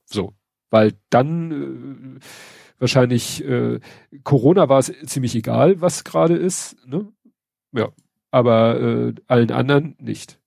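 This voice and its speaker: Ein Mann mit Schnupfen, der in lockerem Plauderton und mit trockenem Sarkasmus über Neuigkeiten spricht, oft mit Füllwörtern. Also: male voice, medium pitch, slow pace, gravelly, a little mellow, dry recording, clean studio sound. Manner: wry, sarcastic, hesitant with many fillers. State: stuffy nose from a cold. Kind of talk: casual news chat.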